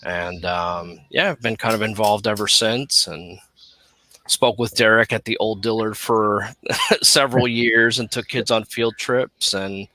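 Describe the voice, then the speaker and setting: A man from Miami whose first language is Spanish, a teacher calmly telling his background as a panel guest. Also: lowish voice, a little slow, dry recording. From Miami, USA. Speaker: guest, social studies teacher. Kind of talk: panel discussion.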